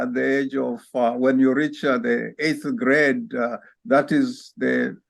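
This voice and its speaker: This is a man from Kenya, an orthopedic spine surgeon, with a noticeably gravelly, fry-tinged voice at an ordinary pitch. He tells his story in an unhurried, reflective way, heard over a video call. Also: no room sound. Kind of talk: interview, personal life story.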